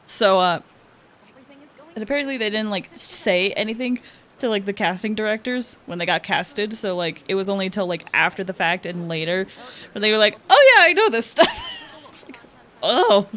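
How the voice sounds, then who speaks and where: A woman's voice: medium pitch, animated, moderate pace, a bit bright. A woman game streamer giving casual livestream commentary with music behind her. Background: faint game music.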